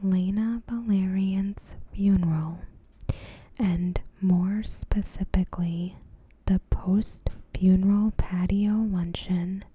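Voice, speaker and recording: A woman softly whispering in an ASMR style, reading out a recap with measured pauses and a breathy voice. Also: fairly low pitch. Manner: soft-spoken, slow, measured, pausing mid-sentence. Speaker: ASMR video creator.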